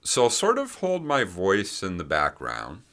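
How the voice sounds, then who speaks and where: Very deep-voiced man guiding meditation slowly. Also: wildly swooping pitch. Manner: gentle, unhurried phrasing with long pauses. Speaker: meditation guide. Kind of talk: guided meditation, unscripted instruction.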